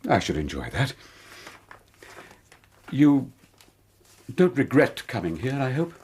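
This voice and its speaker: An actor playing a polite, earnest older gentleman with a British accent, a father and employer. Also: medium pitch, wildly swooping pitch, slow, husky, heavily acted. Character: courteous older English gentleman, widowed father. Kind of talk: radio drama acting.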